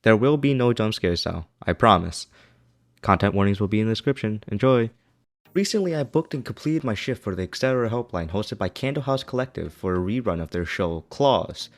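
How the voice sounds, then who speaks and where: A man reading a scripted video essay as its narrator, with an opinionated, critical manner. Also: on the low side.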